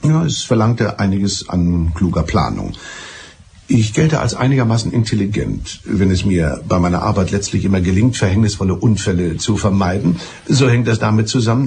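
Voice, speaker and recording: An actor in a German radio drama playing a mild, evasive, smugly clever betrayed husband who talks in a deliberate, circuitous way. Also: male voice, on the low side, gravelly, a little mellow, a little hiss or hum. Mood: smug, pedantic.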